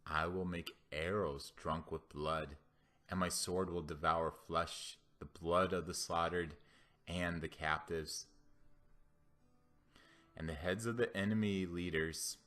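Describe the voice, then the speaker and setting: A man's voice: low voice, fairly even intonation, takes it slowly, a bit bright, faint music behind. A man voicing a mighty, wrathful God in a scripture reading, commanding and declamatory as he proclaims vengeance.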